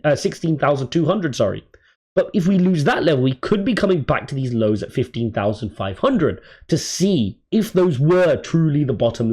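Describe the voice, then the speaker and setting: A man with a croaky voice, giving brisk, emphatic crypto market commentary as host. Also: a little high, darkish tone. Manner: brisk, emphatic chart walkthrough.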